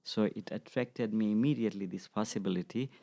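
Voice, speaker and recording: Low-voiced man in his sixties. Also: a little fast, vocal fry, mellow. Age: 60s. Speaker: guest, acupuncture practitioner and teacher. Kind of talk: unscripted interview answers.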